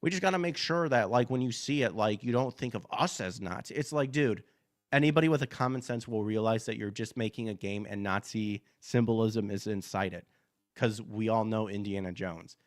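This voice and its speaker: A hoarse man hosting a gaming podcast, reading news articles aloud and breaking into blunt, profane asides full of fillers. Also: on the low side, moderate pace. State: hoarse from allergies. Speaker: podcast host.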